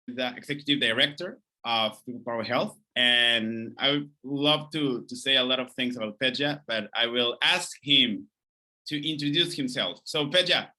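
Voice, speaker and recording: A man's voice: mid-range voice, normal speed, sharp tone, low-quality recording. A man hosting an interview series who asks long, winding questions with enthusiasm. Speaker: interview host.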